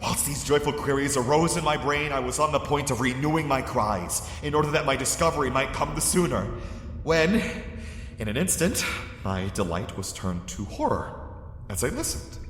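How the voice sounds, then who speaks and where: A man giving a measured, grave first-person horror narration that swells with dread. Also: medium pitch, expressive, fairly bright tone, slight background noise. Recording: echoey cave-like reverb with music.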